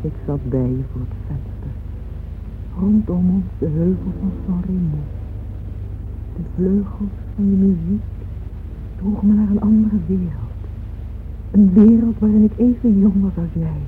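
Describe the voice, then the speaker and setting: A woman's voice: mid-range voice, a little slow, long pauses, slight background noise, close to an everyday voice. An actress playing a wistful, devoted middle-aged mother in a radio drama, with a lingering, yearning delivery. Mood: rapturous, yearning. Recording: old tinny band-limited radio play with music. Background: music.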